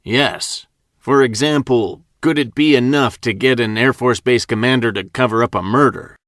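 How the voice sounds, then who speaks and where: A man voicing a calm male investigator who asks measured, probing questions. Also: mid-range voice, dry recording, studio quality, natural voice.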